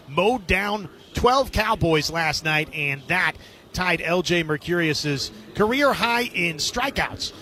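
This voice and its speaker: A man's voice: high-pitched, bright, forceful tone. A man hosting a sports radio pregame show and interviewing.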